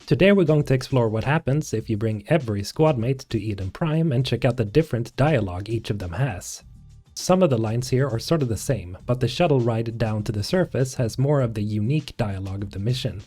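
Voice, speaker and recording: Male video host, mellow tone, medium pitch, casual scripted narration, music behind. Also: expressive, brisk. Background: background music.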